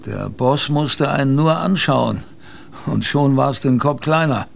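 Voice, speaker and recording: A man's voice: mid-range voice, unhurried, vocal fry, slight background noise. A man playing a plain-spoken, wry sailor who tells his expedition tale chattily, straight to the listener, in a radio drama. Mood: wry, colloquial. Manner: chatty, addresses the listener directly. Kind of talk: radio drama first-person narration, colloquial. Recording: faint background ambience.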